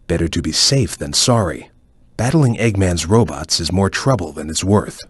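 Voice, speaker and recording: A man playing a calm, serious ninja chameleon in a video game, speaking in a measured, stoic and focused way with a low, husky voice. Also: no room sound, clean studio sound, full character voice. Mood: serious, watchful. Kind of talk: video game voice lines, hints and tutorial instructions.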